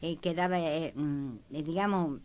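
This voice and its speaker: A woman's voice: sits low, a little slow, darkish tone, smooth. A woman talking in a rambling, repetitive way in a panel discussion. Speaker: panelist on a history programme.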